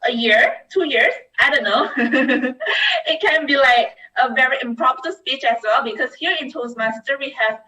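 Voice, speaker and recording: A woman's voice: high-pitched, moderate pace, bright, forceful tone, rough recording. A woman hosting an online club meeting, talking loosely with fillers. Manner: chatty, loose, with fillers and laughs. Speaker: meeting host. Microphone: video call.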